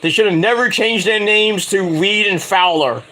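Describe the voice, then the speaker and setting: A man's voice: high-pitched, moderate pace, bright, forceful tone, rough recording. A man casually reviewing a wrestling show, rambling and correcting himself, with sarcastic asides while he fights off a cold. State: getting a cold, voice going. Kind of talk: casual solo review recap.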